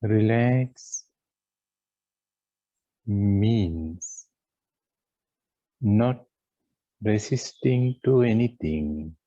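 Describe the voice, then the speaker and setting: A man leads a guided meditation in a low, mellow voice. He speaks extremely slowly, leaving long pauses between short, measured phrases. The recording is dry and somewhat muffled. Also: expressive, no room sound. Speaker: meditation teacher.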